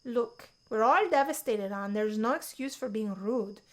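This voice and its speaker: A woman's voice: a little high, expressive, a little slow. A woman voicing a confident, teasing young college woman with a sly, theatrical manner in a visual novel. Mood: mock stern.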